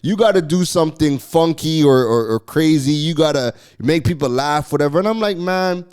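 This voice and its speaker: A man's voice: a little high, normal speed, clear, resonant tone, faint music behind. Laughing, chatty man telling a story. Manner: animated, laughs often, repeats and restarts phrases. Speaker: podcast host. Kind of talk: casual storytelling monologue.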